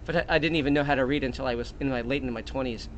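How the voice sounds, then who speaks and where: A man's voice: medium pitch, fairly even intonation, racing, a little hiss or hum. Rambling mock-earnest man spinning a tall tale. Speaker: comedic storyteller. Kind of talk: improvised comic monologue.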